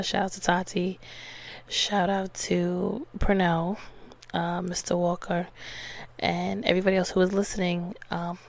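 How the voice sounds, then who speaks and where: A young woman hosting her own podcast, hoarse from losing her voice, chatting casually and rambling with lots of fillers. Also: on the low side. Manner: rambling, filler-heavy, relaxed. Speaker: podcast host. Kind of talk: casual solo podcast chat.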